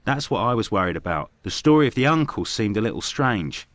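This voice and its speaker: An actor playing a frank, measured Victorian clinic doctor in a video game, formal and matter-of-fact. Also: male voice, on the low side, sing-song, a bit bright. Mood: frank, reasonable.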